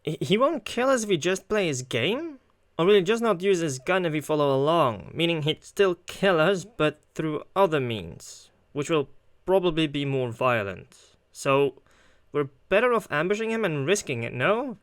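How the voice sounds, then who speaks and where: A man's voice: fairly high pitch, animated, moderate pace, no room sound. A man doing casual let's play commentary with wry asides, reading along and reacting as he goes. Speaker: gaming video host.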